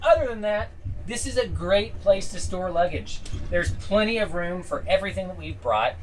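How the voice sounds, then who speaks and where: Lively wry man touring a train cabin. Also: high voice, moderate pace, low-quality recording. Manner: dry joking asides. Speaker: travel vlogger. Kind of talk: casual tour narration. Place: small sleeper train cabin.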